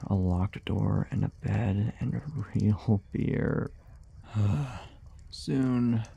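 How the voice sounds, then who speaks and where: A weary monster hunter from a fantasy ASMR roleplay, acted by a man, speaks in a dark, mellow voice with plenty of gravel. He takes his time, muttering and hesitating. He grumbles with dry sarcasm, guarded but willing to chat. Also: fairly low pitch, very slow, full character voice. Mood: weary, grumbling.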